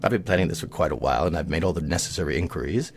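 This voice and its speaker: An actor playing a haughty, wealthy colonel in an audio drama, speaking in a measured, formal, pompous way. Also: male voice, very deep voice. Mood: measured, commanding. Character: haughty, wealthy club colonel, stern and exacting.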